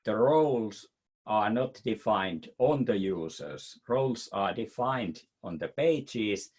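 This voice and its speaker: Slow, hesitant Finnish-accented man. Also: lowish voice, animated, a little mellow, husky. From Finland. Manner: hesitant, many fillers and restarts. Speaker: presenter answering questions. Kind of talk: webinar Q&A answer.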